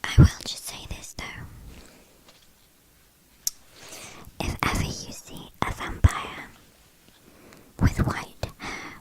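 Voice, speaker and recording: Woman acting as British vampire kidnapper, female, breathy whispering, unhurried pace, chatty, teasing, haughty, ASMR roleplay. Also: a little mellow, close to an everyday voice, poor audio. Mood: ominous warning. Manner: teasing, mock-apologetic, unhurried.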